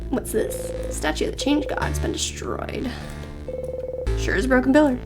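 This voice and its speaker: A woman's voice: sits high, big pitch swings, moderate pace. A woman streamer theatrically reading a video game's text aloud as she plays, with game music behind her. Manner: theatrical, switches between narration and character voices. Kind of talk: let's play, voicing game text aloud.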